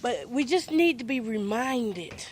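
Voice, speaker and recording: A woman's voice: fairly high pitch, measured pace, slight background noise. Congested older woman, chatty. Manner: chatty, animated, sing-song Southern talk. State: congested from a cold. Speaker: podcast host. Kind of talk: casual storytelling to viewers. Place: rural home.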